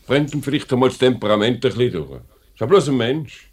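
An actor playing a brusque, dismissive factory director with a Swiss accent and a clipped, authoritative way of talking in a 1950s radio drama. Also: male voice, medium pitch, animated, fairly quick, husky. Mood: defensive. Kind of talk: 1950s German-language radio drama acting.

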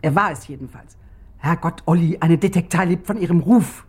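A woman's voice: lowish voice, brisk, husky. A woman playing a stern, exasperated detective agency boss in a German radio crime drama, scolding sharply.

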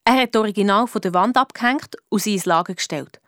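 An actress with a Swiss German accent playing a clever, cheeky amateur detective who narrates a children's radio play. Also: female voice, mid-range voice, fast, fairly bright tone, no room sound, studio quality, close to an everyday voice. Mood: narrating, pleased. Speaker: lead detective and narrator in a children's audio drama.

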